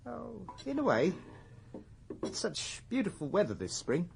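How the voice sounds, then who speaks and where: An actor playing a mild, whimsical middle-aged runaway shopkeeper in a radio drama, with an English accent and a hesitant, playful way with fanciful words. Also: male voice, high-pitched, huge pitch swings, unhurried, creaky, slight background noise, exaggerated voice. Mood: tentative. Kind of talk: naturalistic radio drama acting.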